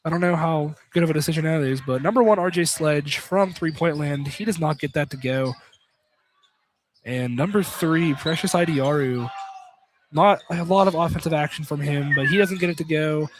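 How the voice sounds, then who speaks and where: A man's voice: on the high side, normal speed. A man calling college basketball live on the radio, chatting conversationally and repeating phrases as he goes. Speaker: basketball play-by-play commentator. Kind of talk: live radio sports commentary with casual chat. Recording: noisy live sports broadcast with clicks.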